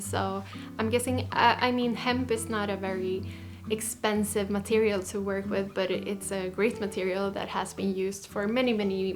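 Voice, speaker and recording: Chatty woman vlogging. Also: mid-range voice. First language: Swedish. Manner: enthusiastic, chatty, with frequent restarts. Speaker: fashion vlogger. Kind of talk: casual chat to camera.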